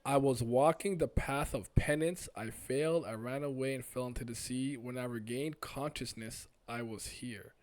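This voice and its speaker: Man plays lost young villager from a comic: earnest, halting, pleading, worn out and confused. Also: mid-range voice, close to an everyday voice.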